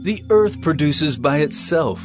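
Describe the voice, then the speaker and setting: A commanding bible teacher preaching parables, highish lively voice, emphatic, music behind. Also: male voice, measured pace. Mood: instructive. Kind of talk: dramatized scripture reading.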